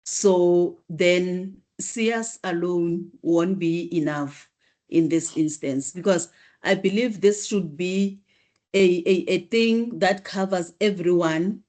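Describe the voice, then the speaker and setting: A woman student speaking in a class discussion, polite and measured. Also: lowish voice, darkish tone. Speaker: university student.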